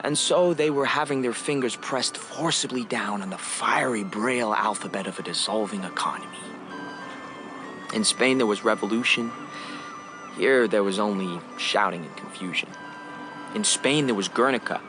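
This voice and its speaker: An actor playing a wistful young son who narrates his memory play in a poetic, measured radio drama monologue, addressing the audience. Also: lowish voice, music in the background, close to an everyday voice. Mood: wry, lyrical.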